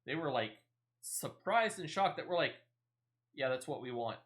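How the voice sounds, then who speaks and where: A man's voice: mid-range voice, lively intonation, normal speed, a bit bright. A man giving casual, rambling, playful gameplay commentary as a video game streamer.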